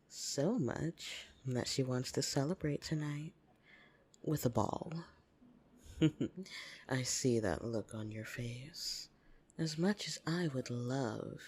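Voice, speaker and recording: A woman playing a fond, teasing, possessive vampire in an intimate ASMR roleplay, speaking softly and often slipping into whispers. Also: very deep voice, exaggerated voice. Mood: teasing, protective. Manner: soft, breathy, teasing, often dropping into whispers. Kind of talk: intimate ASMR roleplay, scripted monologue to listener. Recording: music behind the voice.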